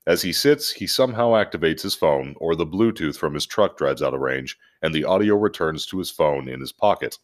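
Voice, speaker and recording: A man with a very low voice hosts a casual podcast. He is jokey and freely profane, sounding like someone swapping stories with friends. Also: lively intonation, brisk, hardly any pauses. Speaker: podcast host. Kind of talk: casual podcast chat and animated story reading.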